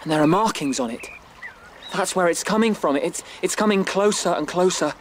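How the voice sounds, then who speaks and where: A man playing an anxious, visionary young male rabbit in a radio drama, speaking in breathless, halting dread. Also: sits high, background noise, lightly acted. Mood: urgent, pleading.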